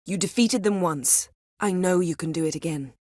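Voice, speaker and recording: A woman voicing a proud, sharp-tongued sorceress in a video game, speaking crisp, formal with an imperious, sardonic manner. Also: on the low side, normal speed, dry recording, studio quality, natural voice. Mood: cool, commanding. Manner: crisp, formal, haughty and biting. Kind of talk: video game character dialogue.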